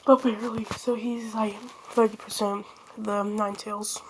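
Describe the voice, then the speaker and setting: Slow, hoarse young woman vlogging. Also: medium pitch, little pitch movement, slight background noise. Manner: rambling, many fillers and self-corrections. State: losing her voice, sick. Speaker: channel host. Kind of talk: casual fan-theory chat.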